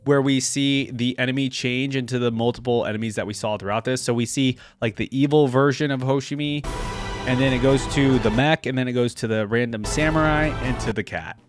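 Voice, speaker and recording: A man reacting to videos as a host, effusive and slangy, thinking out loud in casual commentary. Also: mid-range voice, a little fast. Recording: game audio and music behind.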